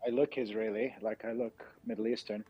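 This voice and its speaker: A man's voice: on the low side, a little fast, slightly rough, lo-fi sound. A man hosting a livestream, chatting casually and telling rambling stories from home, with a slight American accent. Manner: relaxed, rambling storytelling. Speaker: livestream host. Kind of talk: casual chat. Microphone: computer microphone.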